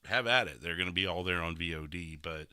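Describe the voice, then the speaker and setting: A man's voice: low-pitched, fast talker, sharp tone, smooth, dry recording, studio quality. A man hosting a casual livestream discussion, explaining and commenting in a conversational way. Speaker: host, livestreamer.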